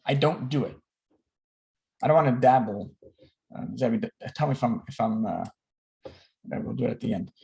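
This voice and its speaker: A man's voice: mid-range voice, normal speed, creaky, pauses often, mellow. Candid man telling his own story. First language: English. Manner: candid, self-deprecating, repeats words and restarts often. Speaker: speaker at an online learning gathering. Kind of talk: personal talk to a video call group. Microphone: video call.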